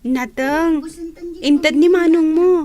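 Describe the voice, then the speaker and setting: A woman's voice: high-pitched, slow pace, dark tone. A woman acting a meek, obliging young schoolgirl in a radio drama, with an Ilocano accent.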